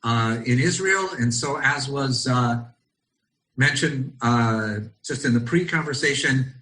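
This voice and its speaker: Bright-voiced American man, teaching over video call. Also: medium pitch, takes it slowly, low-quality recording. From Los Angeles, United States. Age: middle-aged. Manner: thoughtful, searching for words with frequent ums and restarts. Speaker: rabbi teaching a study session. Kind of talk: online study session, reflective teaching. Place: at home in Israel.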